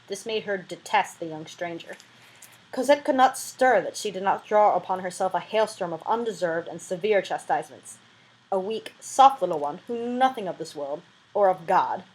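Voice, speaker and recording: A woman reads a classic novel aloud in a mid-range voice. Her delivery is expressive and emphatic, with the informal feel of an amateur audiobook reader. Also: mid-range voice, low-quality recording.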